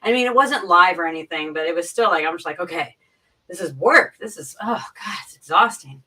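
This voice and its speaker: A woman with a fairly low but sharp, bright voice chats casually on a livestream. She explains things informally, repeating phrases as she goes, and sounds set back from her microphone. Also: expressive, brisk, slightly rough. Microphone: boom mic, sitting back from it. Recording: distant from the microphone.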